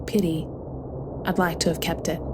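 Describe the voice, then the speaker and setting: A woman voicing a calm, consoling sister returned from the dead in a horror story. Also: fairly low pitch, moderate pace, background noise, lightly acted. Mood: wistful, detached. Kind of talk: audio horror story dialogue.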